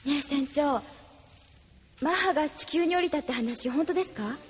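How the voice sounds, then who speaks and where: A woman's voice: high-pitched, normal speed, natural voice. A woman playing an earnest, eager young crew member who speaks politely in a Japanese audio drama. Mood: anxious. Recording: long reverb, faint music behind.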